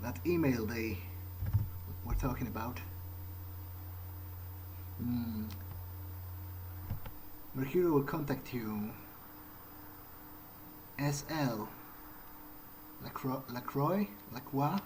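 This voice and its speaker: A man role-playing a rueful, bewildered new vampire in a video game, thinking aloud in a halting, rambling way with dry sarcasm. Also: mid-range voice, background noise. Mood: dry, puzzled. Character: rueful, bewildered newly turned young male vampire. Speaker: gaming role-player. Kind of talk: in-character improvised game monologue, thinking aloud.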